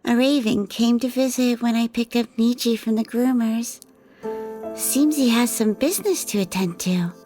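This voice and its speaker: An actress playing a flirtatious woman devoted to her vampire lover in an audio drama, speaking softly and coyly. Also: fairly high pitch, moderate pace, soft background music, close to an everyday voice. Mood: attentive. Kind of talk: ASMR audio drama acting.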